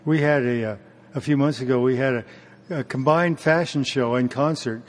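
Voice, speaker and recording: A man speaking in a measured, casual way in an interview, often pausing with fillers and clearing his throat. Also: medium pitch.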